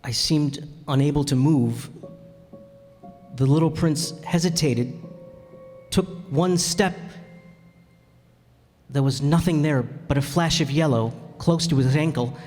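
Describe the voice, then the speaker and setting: A man playing a tender, sorrowful pilot in a radio play, speaking earnestly with halting pauses. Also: on the high side, a little mellow. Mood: sorrowful, reflective. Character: sorrowful, tender adult pilot recalling his lost friend. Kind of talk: radio play acting and narration. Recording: reverberant hall with music.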